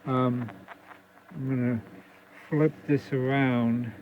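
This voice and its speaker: Slow-talking, gravelly man thinking aloud. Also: mid-range voice, fairly even intonation. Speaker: radio repair hobbyist. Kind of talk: casual hands-on demonstration talk. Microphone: phone camera propped near the workbench. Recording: lo-fi phone recording with faint noise.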